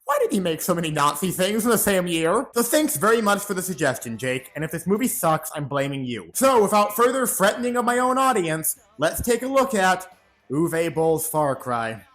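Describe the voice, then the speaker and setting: A man hosting a comedic movie review, narrating a script with sarcastic, deadpan asides and sudden dramatic reactions. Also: sits high, moderate pace.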